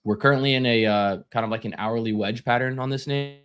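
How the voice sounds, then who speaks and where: A man's voice: medium pitch, fairly quick, a bit bright. A young man losing his voice, running a casual live trading stream with lots of fillers and repeated words.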